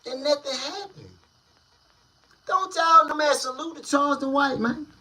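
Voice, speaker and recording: A man hosting a casual street-news commentary channel, talking in slang with drawn-out, emphatic delivery. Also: very high-pitched, pushed and bright.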